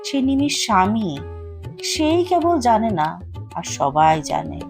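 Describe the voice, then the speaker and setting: A woman's voice: fairly high pitch, very animated, takes it slowly, music in the background. A woman narrating a dramatized short story as a storyteller.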